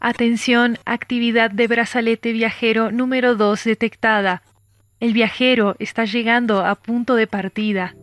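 A woman's voice: a little high, steady tone, over music, lightly acted. A woman acting as a formal automated assistant. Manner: formal, measured, scripted politeness. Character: cheerful corporate female assistant of a time-travel bracelet. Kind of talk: scripted automated announcements.

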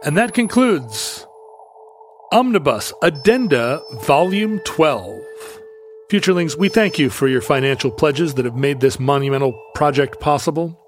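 A man's voice: fairly high pitch, expressive, measured pace, soft background music. A man co-hosting a podcast in casual chat, with dry humor, who closes the show in a mock-grand formal tone. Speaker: podcast co-host.

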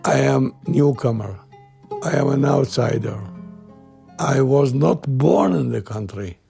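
An older man from Iraq, speaking slowly and haltingly about his life in an interview. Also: medium pitch, vocal fry, over music. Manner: slow, halting, plain-spoken. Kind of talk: reflective interview.

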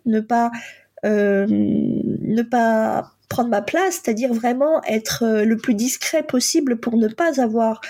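A Polish-born woman in her forties who is a coach, telling her own story in a halting, reflective way with frequent pauses. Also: a little high, warm tone. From Poland. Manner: halting, many pauses and fillers. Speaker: guest, coach and psychotherapist. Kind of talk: reflective personal testimony.

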